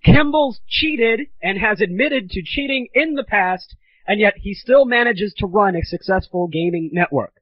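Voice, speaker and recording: A man hosting a gaming audio show, delivering a belligerent, sarcastic, profane comedic rant in a husky voice. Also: high-pitched, animated, normal speed, no echo. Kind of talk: ranting comedic monologue. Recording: dull lo-fi 1990s amateur recording.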